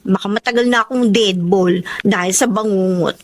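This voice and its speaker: A woman's voice: mid-range voice, normal speed, slight background noise, lightly acted. A woman playing a chatty, extroverted twin sister in a Filipino radio drama, playful and joking. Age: 30s.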